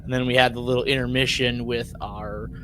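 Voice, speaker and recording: A man co-hosting a podcast in casual chat, rambling and halting, often repeating words as he works out a point. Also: mid-range voice, flat delivery, a bit bright, a little hiss or hum. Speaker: podcast co-host.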